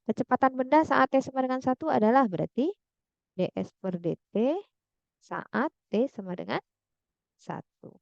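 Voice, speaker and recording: A woman's voice: high-pitched, lively intonation, moderate pace, gravelly, long pauses, no room sound, dull audio. A woman tutoring math through a worked example, thinking aloud and checking with her listeners as she goes.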